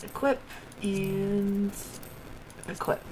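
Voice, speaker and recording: A woman's voice: mid-range voice, steady tone, extremely slow, darkish tone, slight background noise, rough recording. A woman streaming a game live, making casual, muttered remarks to herself as she plays. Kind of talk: casual live gameplay commentary, reading dialogue aloud.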